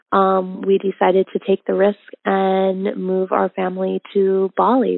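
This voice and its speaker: A woman in her thirties from Indonesia, telling her love story in a casual, reflective way with many ums and pauses. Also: mid-range voice, creaky, no room sound. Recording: tinny phone-like audio.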